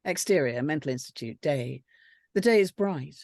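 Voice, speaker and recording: Low-voiced woman reading screenplay directions, dry sound. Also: animated, normal speed, darkish tone, no room sound. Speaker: script panel reader reading stage directions. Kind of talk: scripted narration.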